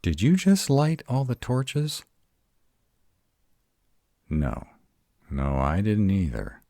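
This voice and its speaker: A man playing a grumpy, protective were-dragon in a roleplay audio, gruff and exasperated, rattled but defiant. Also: lowish voice, huge pitch swings, warm tone, no echo, clean studio sound, full character voice. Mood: wary, puzzled. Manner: gruff, exasperated, halting one-sided dialogue.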